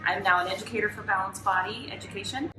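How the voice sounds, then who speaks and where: A woman's voice: medium pitch, quick pace, over music. A woman from California, a Pilates educator, talking in a reflective, chatty way. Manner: warm, reflective, chatty with "you know" asides. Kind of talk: casual interview. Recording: echoey room with background noise.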